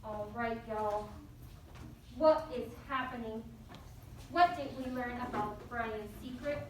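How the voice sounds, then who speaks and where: Echoey, higher-voiced woman teacher reading aloud. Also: takes it slowly. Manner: encouraging, addresses pupils as friends. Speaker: elementary school teacher. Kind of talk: leading a class and reading a story aloud. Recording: very echoey, distant mic, faint noise.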